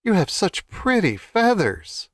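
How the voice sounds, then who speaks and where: A man voicing a sly, flattering fox with a gushing, smooth-talking manner in a children's fable. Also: high voice, slow, dry recording, voice pushed up, heavily acted.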